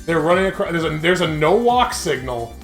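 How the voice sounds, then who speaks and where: A man's voice: fairly high pitch, big pitch swings, fairly quick. A man giving casual, rambling, argumentative commentary as host of a video game playthrough. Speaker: host of a visual novel let's play. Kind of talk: casual jokey game commentary, reading dialogue aloud. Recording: background music.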